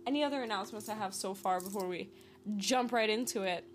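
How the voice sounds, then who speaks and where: A woman's voice: medium pitch, fast, a little hiss or hum. A woman in her early twenties hosting a casual solo podcast, rambling and upbeat, laughing often as she talks. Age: 20s. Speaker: podcast host. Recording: faint singing bowls behind. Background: soft singing bowls.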